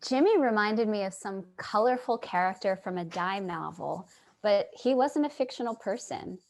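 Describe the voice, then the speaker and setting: Lady acting a sincere naive writer in a play reading, mid-range voice, gentle, bit hesitant. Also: medium pitch, lightly acted. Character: earnest, naive, sympathetic woman writer. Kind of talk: staged reading with first-person narration.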